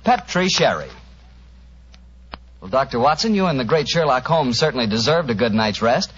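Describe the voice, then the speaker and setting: Animated American old-time radio announcer man. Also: medium pitch, moderate pace, breathy edge. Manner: folksy, emphatic sales patter. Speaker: announcer and sponsor host. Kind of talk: 1940s radio sponsor announcing. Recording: dull, hissy old radio recording.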